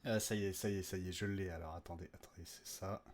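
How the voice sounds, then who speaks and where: A man with a fairly low voice chats as a podcast creator. His talk is informal and rambling, with a joking, slangy manner, as if speaking straight to listeners. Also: steady tone, fast talker. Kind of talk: casual, off-the-cuff update to listeners.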